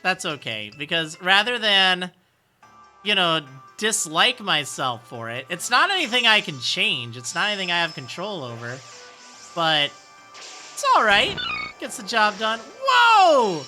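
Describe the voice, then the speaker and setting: High-voiced man, lively and bright. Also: a little slow, faint music behind. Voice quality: high, youthful-sounding voice. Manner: reads viewer messages aloud and answers with rambling encouragement. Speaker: game streamer. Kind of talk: casual live-stream chat.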